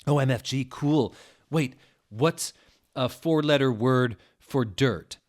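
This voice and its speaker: Emo teen boy voiced by a man, naive and whiny, raised pitch, overexcited, for a comic fan-story reading. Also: slow pace, close to an everyday voice. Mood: excited.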